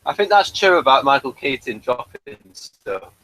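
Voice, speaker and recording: A man's voice: mid-range voice, sing-song, normal speed, bright, forceful tone, low-quality recording. A man hosting a casual chat reviewing a TV episode, easygoing and a bit hesitant. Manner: easygoing, chatty, a little hesitant. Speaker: podcast host.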